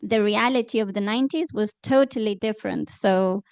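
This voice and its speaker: A woman, a Romanian speaker, speaks as a journalist on a panel in a measured, thoughtful way. Her voice is smooth and clear at a mid pitch. The recording is dry. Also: a little mellow, no echo. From Moldova. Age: 30s. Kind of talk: panel discussion.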